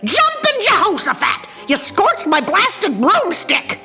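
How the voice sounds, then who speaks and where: A woman voicing a cranky old female witch who shouts and screeches bossily, in a storybook reading. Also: very high voice, wildly swooping pitch, slow pace, soft background music, voice pushed up, heavily acted. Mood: furious. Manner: screeching, bossy, grumbling.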